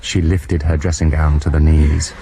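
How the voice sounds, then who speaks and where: An actor playing a man narrating his youthful adventure in a radio drama, measured, wry and fond. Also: very deep voice, level tone, very noisy. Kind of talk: scripted first-person radio drama narration.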